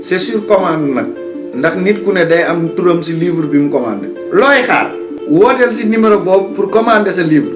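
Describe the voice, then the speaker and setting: A man with a Senegalese accent delivering a promotional voice-over as an announcer, in a sales-pitch style. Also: animated, normal speed. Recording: roomy voice over plucked guitar music.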